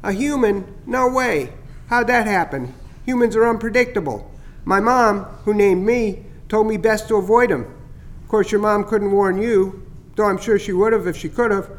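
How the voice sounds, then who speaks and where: A man's voice: high-pitched, voice pushed up, natural voice. A man voicing a motor-mouthed, cheeky male starling who rambles and jokes. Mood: chatty. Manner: rapid, jokey, rambling.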